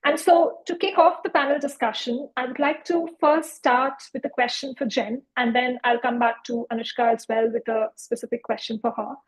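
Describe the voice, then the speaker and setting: A woman's voice: on the high side, fairly even intonation, moderate pace, few pauses, poor audio. A woman hosting a youth panel as moderator, speaking in an effusive, encouraging way.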